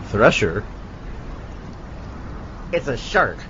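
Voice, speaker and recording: A man's voice: medium pitch, very animated, very slow. A man playing a cocky, wisecracking marine scientist in an amateur audio adaptation of a film scene. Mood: bantering, tipsy. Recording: dull, faintly noisy lo-fi recording.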